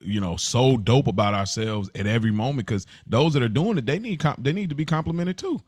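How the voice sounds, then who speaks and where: A man's voice: mid-range voice, lively intonation, brisk, darkish tone, slightly rough, no echo. A middle-aged American man talking casually and earnestly as a podcast co-host, often repeating words for emphasis. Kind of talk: casual conversational podcast chat.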